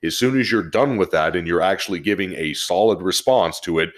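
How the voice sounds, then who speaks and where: Male podcast co-host, low-pitched voice, casual and conversational. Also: brisk. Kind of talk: casual group chat.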